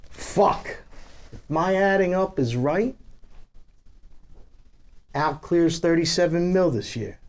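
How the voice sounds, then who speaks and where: A man's voice: fairly high pitch, animated, slow, long pauses. A man playing a blunt, sardonic gangster in a table reading of a crime drama, wry and conversational. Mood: impressed. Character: Lucky, blunt, sardonic male visiting gangster.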